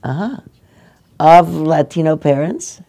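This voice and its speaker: Older woman, meditation teacher, mellow and gentle, halting delivery. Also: deep voice, animated. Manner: gentle, halting, restarts often. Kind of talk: dharma talk with audience questions. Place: meditation hall.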